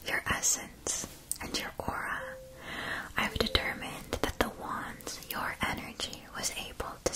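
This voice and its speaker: Poised female witch wand-seller for ASMR roleplay, acted by a woman: hushed whisper, unhurried, formal delivery. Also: medium pitch, lightly acted, poor audio. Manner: formal, measured, deliberate explaining. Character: poised, attentive female witch shopkeeper selling magic wands.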